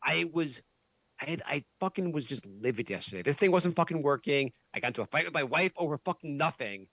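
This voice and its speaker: A man hosting a podcast, chatting casually, animated and swearing often with many restarts. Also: on the high side, a little fast, no echo. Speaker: podcast host. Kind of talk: casual chat.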